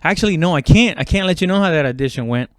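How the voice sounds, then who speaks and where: Male comic podcaster. Smooth, clean voice, dry close sound, informal halting solo ramble with loose swearing. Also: fairly high pitch, animated, no room sound. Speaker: stand-up comedian hosting own podcast. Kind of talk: casual rambling solo chat.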